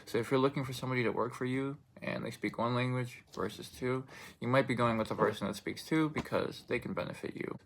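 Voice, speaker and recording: Young male speaker, first language Russian, low gravelly voice, rambling student-style presentation. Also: rough recording. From Russian-speaking country. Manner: loose, filler-heavy delivery with many restarts. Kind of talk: informative speech.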